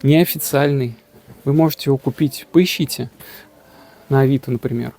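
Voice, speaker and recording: A man's voice: medium pitch, fairly even intonation, normal speed, mellow. A man casually narrating his travel vlog outdoors, joking, boasting and using slang. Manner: jokey, boastful, slangy storytelling. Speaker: travel vlogger.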